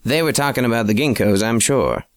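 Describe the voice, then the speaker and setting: A man's voice: medium pitch, a little fast, dry recording, studio quality, natural voice. A man voicing a smug, stubborn crew boss who lectures and brags. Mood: lecturing. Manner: cocky, lecturing.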